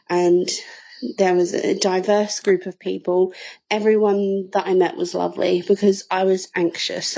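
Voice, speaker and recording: A woman's voice: medium pitch, vocal fry, dark tone, poor audio. A woman sharing personal recollections in an interview. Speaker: interviewee, group member.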